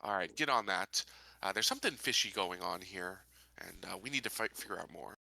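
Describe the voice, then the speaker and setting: A man playing a tabletop roleplaying game, talking casually and deliberately with frequent hesitant pauses. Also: low voice, animated, gravelly, bright, forceful tone, dry recording, poor audio. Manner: deliberate, hesitant, pausing often.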